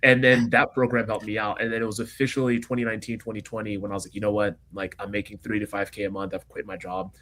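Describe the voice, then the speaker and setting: Young man, from Kenya, American accent, low voice, rapid energetic podcast guest. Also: poor audio. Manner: fast, energetic storytelling with frequent fillers. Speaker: guest entrepreneur. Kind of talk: casual interview chat.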